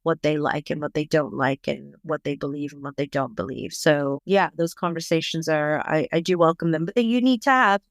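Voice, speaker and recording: Reflective low-voiced woman parenting coach. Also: dry recording, clean studio sound. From Bangladesh. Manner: reflective, measured, thoughtful explaining. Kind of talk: podcast interview.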